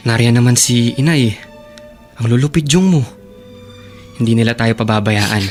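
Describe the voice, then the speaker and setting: A devoted young man played by an actor in a radio drama. His medium-pitched voice is sincere, measured and reassuring. Soft music runs behind it. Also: close to an everyday voice. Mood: hopeful.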